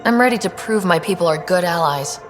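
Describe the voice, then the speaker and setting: A woman's voice: on the low side, expressive, a little fast, close to an everyday voice. An actress playing a confident, friendly young woman space explorer in a video game, earnest and easygoing. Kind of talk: video game dialogue acting. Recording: long reverberant space, faint music.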